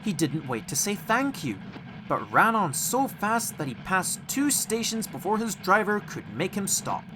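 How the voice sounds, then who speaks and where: A man narrating a scripted children's story as an audiobook, expressive and deliberate in his storytelling. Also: sits high, background noise.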